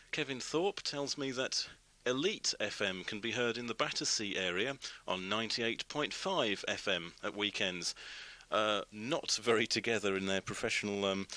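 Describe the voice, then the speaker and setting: A man's voice: on the low side, sharp tone. A man with a British accent presenting a radio magazine show, reading out items and commenting on them. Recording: shortwave station radio programme.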